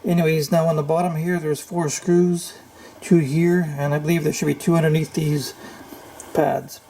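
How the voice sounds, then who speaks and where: A man giving an unscripted, hands-on repair walkthrough, thinking aloud in a halting, tentative way. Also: a little high, level tone, warm tone, slight background noise, rough recording. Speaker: repair tutorial host.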